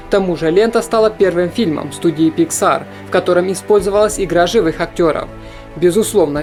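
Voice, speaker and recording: Steady voice-over for a film countdown. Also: mid-range voice. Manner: steady, informative voice-over delivery. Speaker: film channel narrator. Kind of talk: scripted narration of a film countdown.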